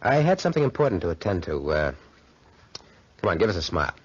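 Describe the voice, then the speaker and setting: An actor in a 1940s radio drama playing a brash, smooth-talking young schemer with slangy, cocky tough-guy talk. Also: deep voice, sing-song, fast, full character voice. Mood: coaxing. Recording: muffled 1940s radio recording.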